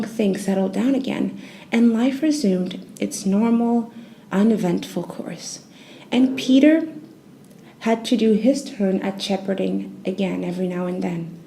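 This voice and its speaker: A woman storyteller reading a children's bedtime tale in a gentle, expressive, soothing narration style. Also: medium pitch, measured pace, gravelly, a little hiss or hum, rough recording.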